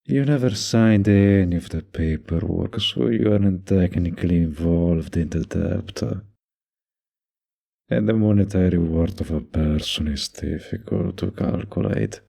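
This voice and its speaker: Man playing a deep, gravelly, slow-talking mafia boss. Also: warm tone. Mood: calm, wry. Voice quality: low, gravelly, husky.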